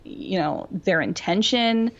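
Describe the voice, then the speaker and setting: A woman's voice: medium pitch, animated, slow pace. A woman co-hosting a podcast, explaining her thoughts in a casual, reflective way on slightly lo-fi audio. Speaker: podcast co-host. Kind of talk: casual pop-culture discussion.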